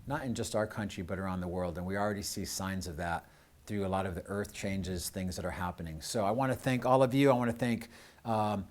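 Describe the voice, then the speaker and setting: An American man speaking as host of a community show, addressing his audience off the cuff in an earnest, halting way with drawn-out pauses. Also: sits low, fairly even intonation. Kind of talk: unscripted closing address to audience with thanks.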